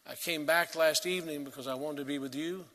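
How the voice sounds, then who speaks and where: A man preaching a sermon as pastor, earnest and emphatic, swelling to loud peaks and dropping to quiet. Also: a little high, fairly even intonation, fairly quick, big-room sound.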